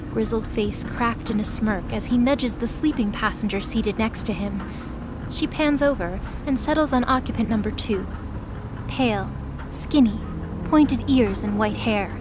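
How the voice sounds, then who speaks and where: A woman's voice: fairly high pitch, background noise. A woman reading scripted dramatic narration for a mythology audio drama. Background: music behind the voice.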